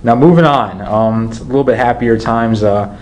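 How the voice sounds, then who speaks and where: A man's voice: medium pitch, moderate pace, a little mellow, slight background noise. A man hosting a sports talk webcast in a casual, chatty style.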